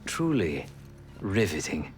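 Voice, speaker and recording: A man's voice: low voice, very animated, slow pace, faint music behind, full character voice. An actor playing an eccentric, theatrical tech genius in a video game cutscene, savouring his words with dramatic phrasing. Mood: amused, teasing. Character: eccentric, theatrical male intelligence hacker and gadget maker.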